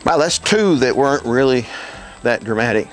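A man's voice: medium pitch, expressive, moderate pace, faint music behind. A middle-aged man vlogging casually while working a sawmill outdoors, rambling and self-deprecating with frequent restarts. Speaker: homestead vlogger.

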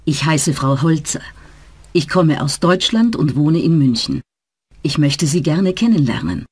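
A woman plays a friendly teacher welcoming her class in a scripted language-course recording. Her intonation rises and falls in a lively way, the tone is slightly breathy, and the sound is dry and close. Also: low voice, measured pace, no echo, heavily acted. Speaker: teacher in a dialogue. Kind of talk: slow scripted learner dialogue.

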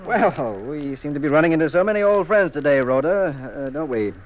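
An actor playing a skeptical, wisecracking private detective in a 1950s radio drama. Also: male voice, fairly high pitch, lively intonation, fairly quick, muffled recording, close to an everyday voice. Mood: improvised geniality. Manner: wry, hesitant improvising. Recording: old radio recording, faint noise.

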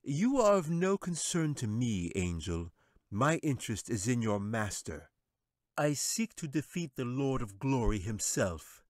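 A man voicing a sly, scheming male wizard who speaks in a slow, gloating, taunting way. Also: mid-range voice, big pitch swings, no echo, studio quality, voice pushed up. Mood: menacing.

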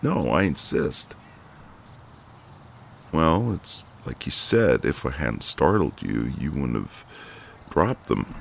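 A man playing a friendly, gallant, gently teasing ex-marine neighbour in an intimate, easygoing one-sided roleplay. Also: rumbling low, big pitch swings, slow pace, creaky, long pauses, a little hiss or hum, heavily acted. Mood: gently teasing, insistent. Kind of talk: intimate ASMR roleplay, one side of a conversation.

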